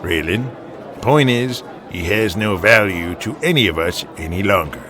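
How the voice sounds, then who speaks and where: A man voicing an older, authoritative wrestling alliance president in an audio drama, weary, measured and deliberate. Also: on the low side, expressive, pushed and bright. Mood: firm.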